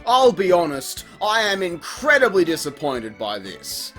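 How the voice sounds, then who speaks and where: A man's voice: sits high, wildly swooping pitch, a little slow, a bit bright, soft background music. A man giving a sarcastic, exasperated, sweary comedic review as a voice-over. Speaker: video reviewer.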